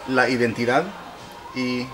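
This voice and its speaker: A man from asks questions in a casual interview, musing aloud and restarting his sentences. His voice is of ordinary male pitch. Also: monotone, measured pace, music in the background, low-quality recording. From Puerto Rico. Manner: reflective, thinking aloud with restarts.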